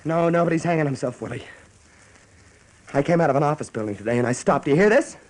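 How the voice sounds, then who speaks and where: An actor playing an anguished, blunt grown son in a radio drama, with an American accent and emphatic, pleading delivery. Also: male voice, a little high, fast talker, a little mellow, close to an everyday voice, low-quality recording. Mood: pleading, earnest. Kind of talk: stage-style radio drama acting.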